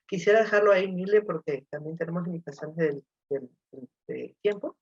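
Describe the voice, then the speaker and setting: A woman's voice: lowish voice, animated, fairly quick, husky, no room sound. Brief: female panelist, hesitant and thoughtful, dry muffled call sound. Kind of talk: webinar panel talk.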